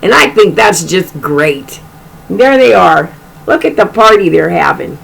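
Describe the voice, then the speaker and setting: A woman's voice: fairly low pitch, expressive, a little slow, creaky, a bit bright, breathy edge, low-quality recording. A woman reading a children's storybook aloud in an informal way, with chatty, folksy asides about the pictures.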